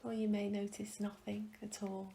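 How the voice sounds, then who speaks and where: A woman leading a guided meditation in a gentle, calm, soft and breathy voice, with long pauses, often trailing into a whisper. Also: mid-range voice, a little hiss or hum. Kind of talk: guided meditation, soothing instruction.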